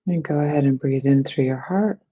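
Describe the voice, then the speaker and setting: A person leading a guided meditation in a soothing, unhurried manner. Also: mid-range voice, dull audio. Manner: soothing, unhurried phrasing with breathy releases.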